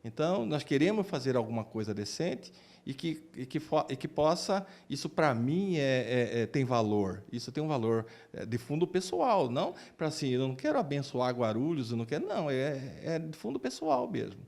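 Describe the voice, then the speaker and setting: A man's voice: mid-range voice, big pitch swings, moderate pace, vocal fry, darkish tone. A man with a Brazilian Portuguese accent answering interview questions as a doctor, often repeating words as he searches for phrasing. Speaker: oncologist and researcher being interviewed. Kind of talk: interview answers, explaining his work.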